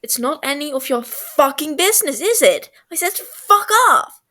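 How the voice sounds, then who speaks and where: A woman voicing an angry, defensive teenage boy who snaps, swears and shouts, in a story read aloud. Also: high-pitched, animated, moderate pace. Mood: furious.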